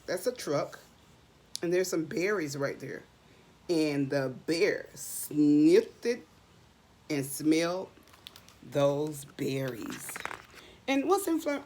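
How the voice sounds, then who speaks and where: A woman's voice: fairly low pitch, a little mellow. A middle-aged woman doing a read-aloud storytime for young children, expressively posing questions and answering them, drawing out and repeating words for emphasis. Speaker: children's storybook reader, teacher.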